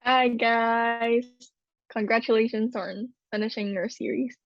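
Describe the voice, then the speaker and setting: A woman's voice: on the high side, steady tone, a little slow, dry recording, lo-fi sound. A young woman from Hong Kong co-hosting a student podcast in casual chat, with frequent "like" fillers. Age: young adult. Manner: chatty, many "like" fillers. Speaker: podcast co-host.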